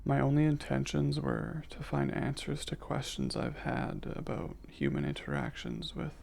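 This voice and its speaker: A man voicing a curious, emotionally reserved alien scientist in an intimate ASMR roleplay, speaking formally and precisely, with hesitant, measured diction. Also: medium pitch, wildly swooping pitch, vocal fry, a little mellow, exaggerated voice. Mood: apologetic, formal.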